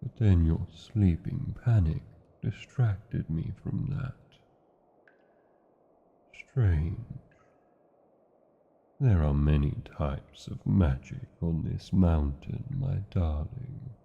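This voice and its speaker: A British man playing a tender, brooding dragon lover in a romantic fantasy ASMR roleplay, speaking formally with old-fashioned endearments in a gravelly, husky voice. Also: low-pitched, very slow, long pauses, heavily acted. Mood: tender, pensive. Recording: muffled, narrow phone-like sound.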